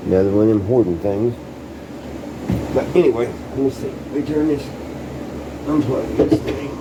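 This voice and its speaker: A man's voice: medium pitch, lively intonation, normal speed. A man giving a casual hands-on demonstration to camera as a hobbyist, talking in an informal, folksy way and thinking aloud as he works. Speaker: hobbyist showing restored vintage radios. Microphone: handheld camera, sometimes set down farther away. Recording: loud background hum and ambient noise.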